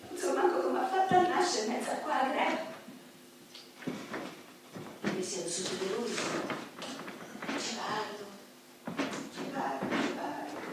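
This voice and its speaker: A woman's voice: mid-range voice, natural voice. An actress playing a fragile, simple-spoken woman, musing in a colloquial theatrical monologue. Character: fragile, simple-spoken woman who talks to her little tree. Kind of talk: theatrical monologue in a radio play. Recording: echoey hall, distant mic, noisy.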